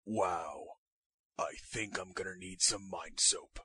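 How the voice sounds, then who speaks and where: A performer voicing a menacing young male ninja with a low, deadpan delivery in a cartoon parody. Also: slow pace, breathy edge, no room sound, full character voice. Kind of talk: fan-dub parody cartoon acting.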